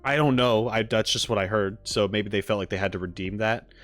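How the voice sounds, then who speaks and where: A man's voice: on the low side, a little fast, fairly bright tone. A man chatting casually as a livestream host, thinking aloud in short, informal, trailing phrases. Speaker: streamer and reaction host. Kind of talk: casual livestream chat, musing about a game. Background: background music.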